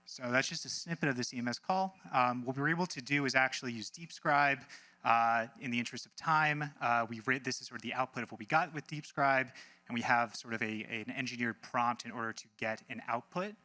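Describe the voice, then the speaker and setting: A man giving a casual live software demo as a team presenter, informal and breezy with wry asides. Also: medium pitch, brisk.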